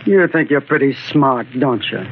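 An actor playing a cynical, callous gangster in a 1940s radio crime drama, with curt, sneering American tough talk. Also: male voice, medium pitch, animated, normal speed, natural voice. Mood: sneering, defiant. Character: cynical hard-boiled gangster killer, cornered and callous. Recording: tinny, noisy old radio broadcast recording.

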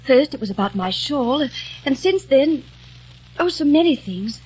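A woman's voice: high voice, lively intonation, measured pace, darkish tone, dull audio. An actress playing an adoring young woman in an old radio drama, speaking eager and pleading. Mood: entranced. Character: young woman, devoted dreamy sister. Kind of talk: 1940s radio acting.

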